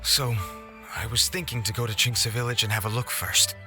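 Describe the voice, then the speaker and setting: A man's voice: medium pitch, steady tone, a little fast, natural voice. A man voicing a worried, flustered fireworks organizer pleading for help in a game cutscene. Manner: worried, pleading, explaining at length. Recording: game audio with clear music. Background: game music.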